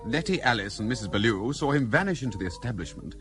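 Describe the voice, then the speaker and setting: Actor as a gossipy, animated colonial officer. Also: male voice, mid-range voice, fast, crowd noise, close to an everyday voice. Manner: knowing, emphatic, teasing. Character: gossipy, emphatic British officer in colonial India. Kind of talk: period radio play acting.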